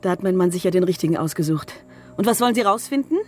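An actress playing a shrewd, flirtatious wife with a sardonic, challenging manner in a radio crime drama. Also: female voice, fairly low pitch, fast, a little mellow, slight background noise, close to an everyday voice. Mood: indignant, challenging. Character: shrewd, flirtatious, scheming wife, sharp-tongued when crossed.

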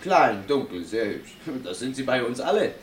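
An actor playing a jovially mocking factory porter who teases and drawls in a 1960s German radio play. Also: male voice, medium pitch, big pitch swings, normal speed, close to an everyday voice. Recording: distant, noisy old radio recording.

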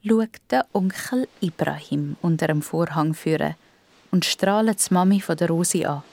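A woman's voice: deep voice, normal speed, vocal fry, darkish tone, no room sound. A woman with a Swiss German accent reads scripted narration for a children's audio drama.

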